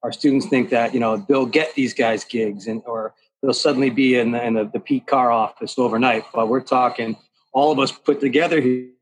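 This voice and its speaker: A man's voice: medium pitch, brisk, slightly rough, low-quality recording. A man hosts a panel in an easygoing, chatty way, leaning on "uh" and "you know" as he moves the talk along. His voice sits in a middle register. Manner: relaxed, many "uh" and "you know" fillers. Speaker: host. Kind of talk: hosting a panel discussion.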